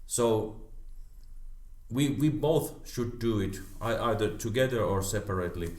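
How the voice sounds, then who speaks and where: Man from Finland, middle-aged, low voice, stammering, repeats words, echoey room. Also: lively intonation, measured pace, darkish tone. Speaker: karate teacher. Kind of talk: casual chat.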